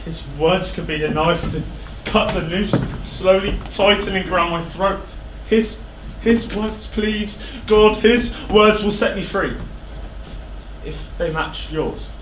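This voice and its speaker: A man playing a guilt-haunted king in a classical tragedy, declaiming in a halting, overwrought way on a theatre stage. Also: high voice, a bit bright. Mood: desperate dread. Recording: echoey theatre stage, clear background noise.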